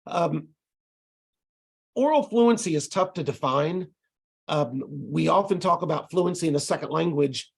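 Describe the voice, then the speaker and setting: A middle-aged man with a Texas accent, giving a relaxed, conversational lecture over a video call with frequent ums and restarts. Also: on the high side, unhurried, creaky, pauses often, breathy edge. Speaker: ESL instructor presenting to tutors.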